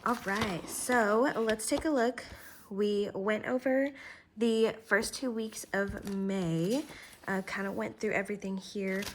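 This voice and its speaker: A woman's voice: mid-range voice, fairly even intonation, slow pace, background noise. A woman vlogger talking through her budget while writing, chatty and thinking aloud, a little congested from a cold.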